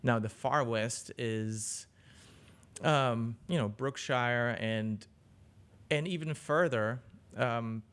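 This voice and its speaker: A man, answering interview questions casually as a journalist, often pausing mid-sentence. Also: mid-range voice, a bit bright, clean tone. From Germany. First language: German. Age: 40s. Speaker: interview guest, business journalist.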